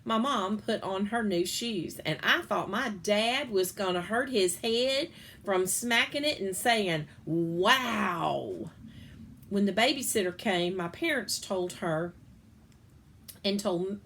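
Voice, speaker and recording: Expressive woman reading a children's book. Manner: expressive, playful storytelling with big pitch swings. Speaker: reader.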